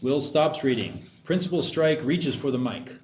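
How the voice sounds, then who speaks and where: A man's voice: mid-range voice, expressive, normal speed, darkish tone. A man reading stage directions as narrator at a screenplay table read. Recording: dull echoey room, noisy.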